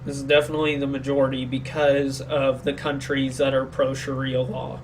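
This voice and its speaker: A man's voice: mid-range voice, monotone, normal speed, a little hiss or hum, rough recording. A sick man co-hosting a podcast, rambling through a casual opinionated discussion and often searching for words. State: sick, speaking more quietly than usual. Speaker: podcast co-host.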